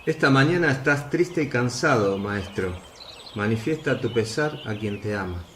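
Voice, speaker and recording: A man acts a tender, solicitous apostle in a religious radio play. The voice is medium in pitch, over faint noise and birdsong. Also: animated, normal speed, fairly bright tone, lightly acted. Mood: tender concern. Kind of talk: devotional radio play acting.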